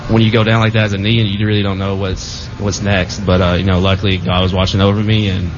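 A young man, a football player guest, giving halting answers full of fillers in a casual interview. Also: sits low, level tone, over music. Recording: dull lo-fi with clear background noise.

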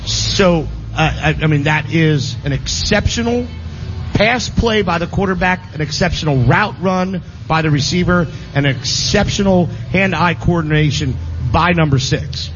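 A man's voice: a little high, measured pace, highs cut off, music in the background. A man hosting live sports radio, hammering his points by repeating words. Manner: emphatic, repeats phrases for stress. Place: live venue broadcast.

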